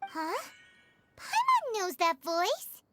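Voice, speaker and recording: A woman voicing a small, excitable floating fairy companion girl in a video game, exclaiming as she talks. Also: extremely high pitch, very slow, heavily acted. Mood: chatty. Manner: speaks of self in third person, exclaiming. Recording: music behind the voice.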